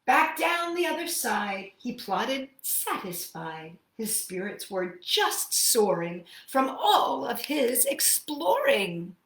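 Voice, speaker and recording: A woman with a high voice leads a children's storytime in a roomy, echoing space. She is expressive and talks straight to her young listeners. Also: slow, few pauses, a little hiss or hum. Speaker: children's storytime host and singer. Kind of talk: children's storytime read-aloud and sing-along.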